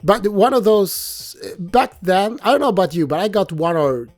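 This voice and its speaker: A man's voice: high-pitched, moderate pace, no room sound, faint music behind. Chuckling guest in his thirties. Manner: relaxed, chuckling, nostalgic. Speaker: podcast guest, lyricist. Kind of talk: casual podcast interview.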